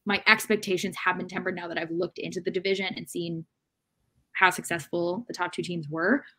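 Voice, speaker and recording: A woman in her thirties co-hosting an unscripted soccer discussion on a video call, speaking in a measured, hesitant way as she thinks aloud. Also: fairly low pitch, level tone, pushed and bright, breathy edge. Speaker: sports podcast co-host. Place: room at home.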